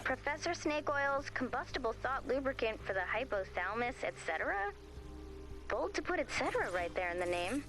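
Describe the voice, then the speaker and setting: An actress voicing a bold, bossy and fiercely loyal girl in an animated video game, blunt and expressive. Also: sits high, brisk, over music, rough recording. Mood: wry, musing.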